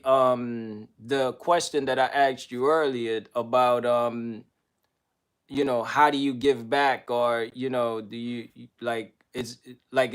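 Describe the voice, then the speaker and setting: A man hosting a casual radio interview, asking questions in a halting way with many restarts and fillers. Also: mid-range voice. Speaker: radio show host.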